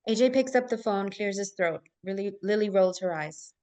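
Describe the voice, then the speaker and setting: A woman's voice: medium pitch, normal speed, darkish tone. A woman narrating stage directions in a scripted live table read.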